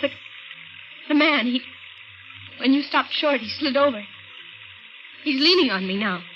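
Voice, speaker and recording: A woman's voice: sits high, lively intonation, a little slow. An actress playing an anxious, frightened woman traveler in a 1950s radio drama, breathless, hesitant and pleading. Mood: nervous. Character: anxious, frightened woman, stranded late-night cab passenger. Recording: dull, very noisy old radio recording.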